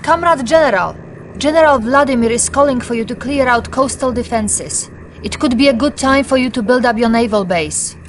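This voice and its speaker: A woman's voice: fairly high pitch, normal speed, a little hiss or hum, close to an everyday voice. Formal Soviet briefing officer acted by a woman. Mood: composed, businesslike. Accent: Russian. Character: composed, dutiful female Soviet intelligence officer. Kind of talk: video game cutscene acting.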